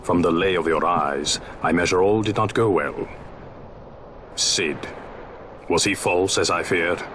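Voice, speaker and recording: Deep, gruff commanding sky pirate. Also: male voice, unhurried, a little hiss or hum. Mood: grim, brusque. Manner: brusque, authoritative, theatrical old-fashioned phrasing. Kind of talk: dramatic video game cutscene acting. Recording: loud game score behind dialogue.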